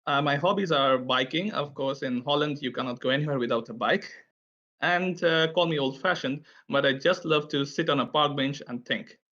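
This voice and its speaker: A man's voice: a little high, normal speed, bright, forceful tone. A man from India, presenting a tech session in a friendly, chatty way with frequent filler sounds. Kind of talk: conference presentation. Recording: dull, lo-fi online audio.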